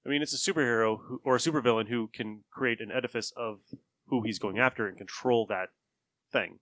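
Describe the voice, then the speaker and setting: A man's voice: mid-range voice, a little fast, a bit bright, no echo. A man hosting a podcast, talking in a casual discussion with friends. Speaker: podcast host.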